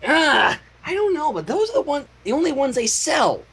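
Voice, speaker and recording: A man's voice: sing-song, normal speed, fairly bright tone, heavily acted. A man voicing a bouncy, playful pink cartoon pony mare with a bubbly, easily exasperated manner, in a story reading. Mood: mildly frustrated. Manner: high-pitched, bubbly, exasperated.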